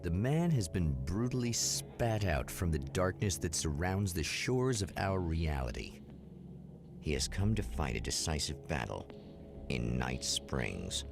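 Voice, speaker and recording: An extremely low-voiced, gravelly narrator of an eerie mystery anthology in a game. He is solemn and portentous, weighing each phrase with foreboding. Loud music plays beneath him. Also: big pitch swings, measured pace, heavily acted. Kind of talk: ominous scripted narration in the manner of a vintage anthology TV show.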